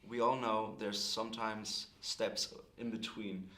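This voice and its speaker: A man's voice: on the low side, level tone, takes it slowly, bright, forceful tone, reverberant. A man interviewing a guest, asking long, careful questions with many fillers.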